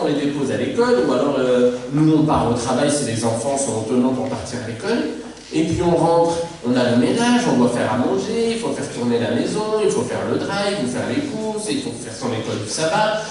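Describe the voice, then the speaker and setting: A man from Mauritius preaches as a pastor, with a somewhat high voice and a lively, rhetorical delivery that repeats phrases for emphasis. He is heard from a distance in a very echoey church. A faint hum of the room sits behind him. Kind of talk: sermon on family life. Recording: echoey church hall from far off.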